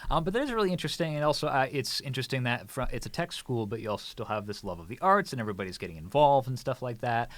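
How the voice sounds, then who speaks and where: A man's voice: medium pitch, animated, very fast, hardly any pauses. A man hosting a casual radio interview, chatty and jokey as he puts questions to his guests. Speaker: radio show host. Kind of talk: casual upbeat interview chat.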